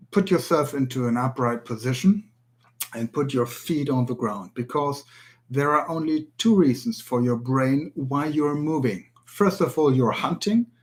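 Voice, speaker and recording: A German-accented man presenting a language tutorial in English. His pitch sits in an ordinary middle range for a man. He speaks in an earnest way and sometimes stops to search for an English word. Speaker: language teacher. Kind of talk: spoken video tutorial.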